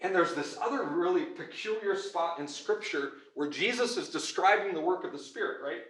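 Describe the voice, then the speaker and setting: A man giving an earnest, informal conference talk as a pastor and teacher, often checking in with his listeners with a quick "right?". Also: sits high, lively intonation, fairly bright tone. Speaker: pastor, schoolteacher and conference speaker. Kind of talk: conference lecture with audience questions. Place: room at a church and school, before an audience. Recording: echoey room.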